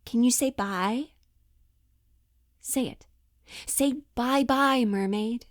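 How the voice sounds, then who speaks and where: A woman's voice: on the high side, slow, no room sound, clean studio sound. A woman playing a pushy, falsely upbeat Hollywood talent agent in a comedic monologue, coaxing, flippant and over-reassuring. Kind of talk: dramatic audition monologue, comedic.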